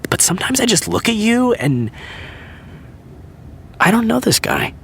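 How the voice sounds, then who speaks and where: A man's voice: sits high, big pitch swings, a little slow, creaky, a bit bright, slight background noise, full character voice. A man playing a cocky but earnest actor in his thirties, talking in a laid-back, swaggering way with some swearing. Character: male actor, charming, cocky, earnest friend. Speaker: actor in a fiction podcast. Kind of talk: audio drama acting.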